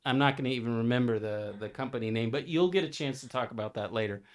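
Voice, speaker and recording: A man's voice: mid-range voice, fast, no echo, studio quality. A man hosting a podcast interview, chatty and rambling, often restarting his sentences. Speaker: podcast host and coach. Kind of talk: casual interview.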